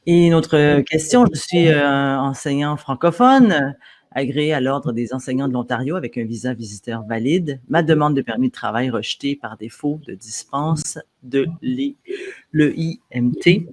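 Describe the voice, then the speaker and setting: A woman's voice: extremely low pitch, darkish tone. A woman hosting an interview, reading out viewer questions and adding brief acknowledgements. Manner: brisk, brief back-channel replies.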